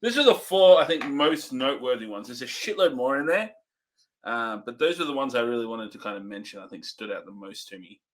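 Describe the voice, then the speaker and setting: A man's voice: mid-range voice, animated, fairly quick. A man co-hosting a casual movie review, listing points in an easygoing way with frequent "um" and "uh". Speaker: co-host.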